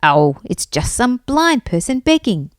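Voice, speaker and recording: A brusque, self-assured man in a storybook crowd, played by a woman reader. The delivery is matter-of-fact and dismissive, with lively intonation and a dry, close sound. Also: on the high side, measured pace, no echo.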